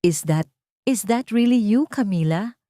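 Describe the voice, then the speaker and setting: A woman's voice: mid-range voice, expressive, unhurried, dark tone, no room sound, clean studio sound, pitched above the performer's own voice, close to an everyday voice. A woman voicing a brooding, stern and wounded rich fiancé in an audiobook drama. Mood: bitter disbelief.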